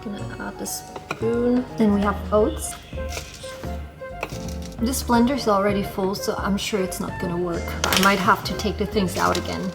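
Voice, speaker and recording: A woman's voice: medium pitch, steady tone, normal speed, gravelly. Chatty young German woman cooking. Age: young adult. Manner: chatty, rambling, apologetic about talking too much. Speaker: vlogger. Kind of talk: casual vlog chat while cooking. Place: hostel kitchen. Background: background music.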